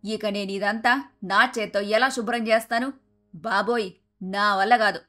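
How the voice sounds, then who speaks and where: A woman playing an older, fussy mother-in-law in a Telugu animated moral story, with a dramatic, emphatic delivery. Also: medium pitch, moderate pace, lightly acted. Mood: disgusted, complaining. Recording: music under the voice.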